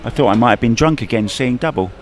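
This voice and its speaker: A man's voice: mid-range voice, quick pace. Chatty guy doing pool commentary, jokes a lot, bit of venue noise. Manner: jokey, chatty, laughs often. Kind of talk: casual live commentary chat. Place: tournament venue.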